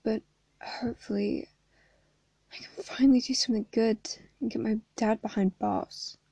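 A woman with a hoarse voice reading the lines of an anxious teenage boy who is facing a court trial, quiet and shaky. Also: fairly high pitch, steady tone, normal speed, no room sound.

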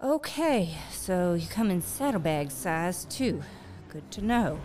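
Low-voiced, wary western heroine acted by a woman. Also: very animated, takes it slowly, mellow, faint music behind. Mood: guarded, wry. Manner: wry, talking to herself. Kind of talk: audio drama acting, muttering to herself.

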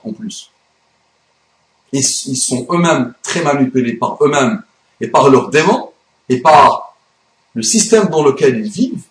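Guy ranting about religion on his channel, emphatic, echoey room. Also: on the high side. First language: Arabic. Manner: emphatic, indignant. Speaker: YouTube commentator. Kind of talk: religious commentary monologue. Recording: roomy echo.

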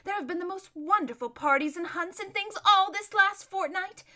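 A woman reading a storybook, voicing a vain, giggly young noblewoman who talks in a loud, gushing, lazy drawl. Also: very high voice, normal speed, voice pushed up. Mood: breezy, gossipy.

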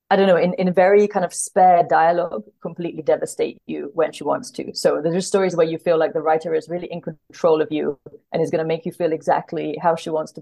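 A woman with a low voice and plenty of vocal fry talks as a podcast guest. She is conversational and thoughtful, feeling her way through each point and rephrasing as she goes. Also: mellow. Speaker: podcast guest, literary translator. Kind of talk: casual interview chat about books.

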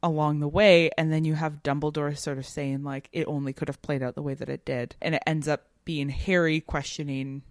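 A woman with a notably low voice speaks as a podcast co-host in a very dry acoustic. She reasons analytically and conversationally, thinking aloud with frequent "like" and checking in with "right?". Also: level tone, a little fast, few pauses, dry recording. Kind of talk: conversational literary discussion.

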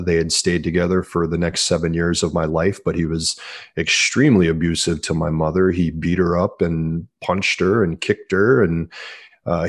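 Very low-voiced man, earnest author guest. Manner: earnest, repeats words when starting sentences. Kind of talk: interview answers.

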